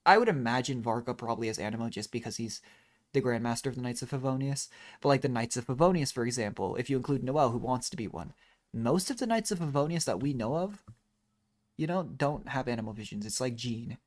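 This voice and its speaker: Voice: mid-range voice, lively intonation, fast. A game streamer giving casual live commentary in a rambling, musing way, drifting into tangents.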